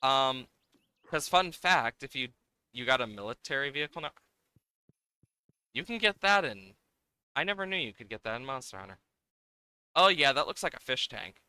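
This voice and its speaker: Bright, crisp-voiced man chatting on a livestream. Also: mid-range voice, expressive, normal speed, pauses often, no room sound. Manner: rambling, playful, thinking aloud. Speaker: livestreamer, host. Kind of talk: casual live game-stream chat, reacting to game and viewers.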